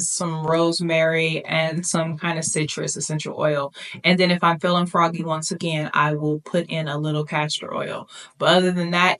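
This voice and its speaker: A woman's voice: low-pitched, a bit bright. A woman vlogger chatting casually to her viewers, sassy and playful, calling them "baby" as she walks them through her hair routine. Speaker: beauty vlogger. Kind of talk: casual chat to camera.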